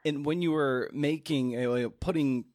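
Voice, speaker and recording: A man's voice: a little high, steady tone, a little mellow, no echo, clean studio sound. A man interviewing casually as a podcast host, thoughtfully feeling out his phrasing as he goes. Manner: thoughtful, halting, feels out phrasing.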